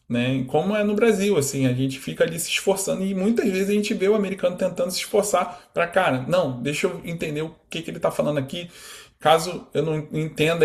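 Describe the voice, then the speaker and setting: A Brazilian man in his thirties from Rio, a guest talking casually in an interview, animated and full of fillers. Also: on the high side. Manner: animated, quotes imagined speech, many fillers.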